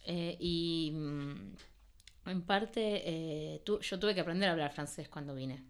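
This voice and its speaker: A woman's voice: low-pitched, slow pace. Laughing, playful Argentine woman. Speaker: artist guest. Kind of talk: casual interview talk.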